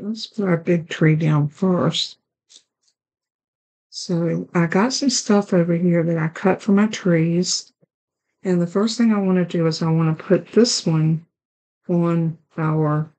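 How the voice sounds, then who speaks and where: A woman with a raspy, hoarse voice hosting a card-making tutorial, chatting along as she works through each step. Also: on the low side, steady tone, slow pace, dark tone, rough recording. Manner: chatty, talks while working with her hands. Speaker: card-making channel host.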